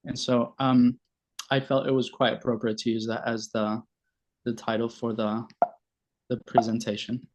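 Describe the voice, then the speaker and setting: A man in his forties from Venezuela gives an informal online presentation, speaking haltingly with frequent pauses. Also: mid-range voice, level tone, darkish tone. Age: 40s. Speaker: general practitioner giving a presentation. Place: online video meeting. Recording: dull lo-fi online call audio.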